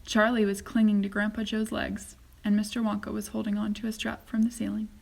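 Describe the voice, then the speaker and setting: A woman reading a children's story aloud as a teacher would, with expressive, deliberate narration. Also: medium pitch, creaky.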